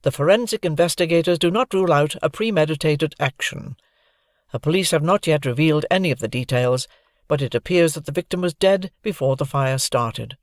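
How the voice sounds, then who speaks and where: Narrator doing a stiff tv news reporter, a bit louder, dry sound. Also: mid-range voice, brisk, no room sound, natural voice. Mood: formal. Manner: formal broadcast delivery. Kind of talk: audiobook character voice.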